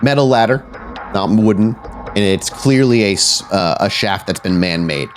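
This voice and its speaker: A man working as game master, improvising suspenseful, deliberate narration for a horror tabletop roleplaying game. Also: lowish voice, animated. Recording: music behind the voice. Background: background music.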